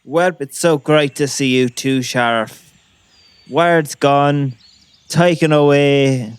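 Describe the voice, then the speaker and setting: An excitable, simple-minded talking police dog, acted by a man, with a clear, smooth voice. He draws his words out slowly and breaks into doggy yelps, as in a comic radio play. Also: medium pitch, natural voice. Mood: earnest. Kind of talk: comic audio drama acting.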